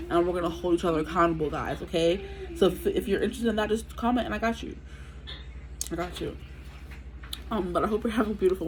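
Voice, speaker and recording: A woman's voice: on the low side, a little hiss or hum, poor audio. A woman in her twenties giving a chatty, rambling tarot reading with a stuffy nose from allergies. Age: 20s. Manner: chatty, rambling, many fillers. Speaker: tarot reader. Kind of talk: casual tarot reading to camera.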